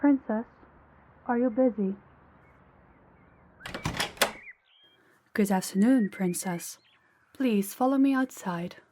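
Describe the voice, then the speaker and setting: A woman playing a haughty captor princess in an audio roleplay, speaking in a measured, formal, condescending way straight to the listener. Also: fairly high pitch, noisy background, close to an everyday voice. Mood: polite, tentative. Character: haughty captor princess, commanding yet awkward and dutiful.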